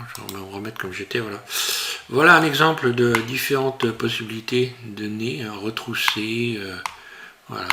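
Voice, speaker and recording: A man teaching a software tutorial while he works on screen, talking in a hesitant, casual way full of fillers and restarts. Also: medium pitch, gravelly, background noise. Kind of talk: screen-recorded tutorial narration.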